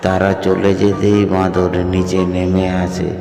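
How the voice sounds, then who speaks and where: A man's voice: sits low, completely flat delivery, a little slow, gravelly. A man narrating a scripted children's fairy tale in a storytelling role. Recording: echoey hall, loud music behind.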